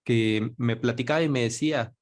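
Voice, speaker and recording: A man's voice: mid-range voice, moderate pace. A man speaking as a panelist on a video call, telling a story conversationally. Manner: storytelling, quotes others in lively voices. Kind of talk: conversational talk in an online panel.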